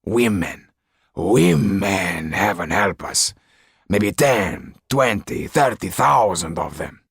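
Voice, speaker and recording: A man voicing a rough, mean male groom with a grumbling, earthy manner. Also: sits low, expressive, slow, fairly bright tone, dry recording, studio quality, exaggerated voice.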